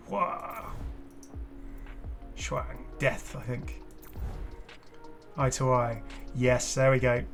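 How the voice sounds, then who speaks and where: An enthusiastic man with a middle-pitched voice hosts a gaming video, reacting off the cuff in a casual, chatty style. He tends to exclaim as he comments on what he is looking at. Music plays faintly behind him. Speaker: gaming channel host and commentator. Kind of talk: casual unscripted reaction commentary on game cosmetics.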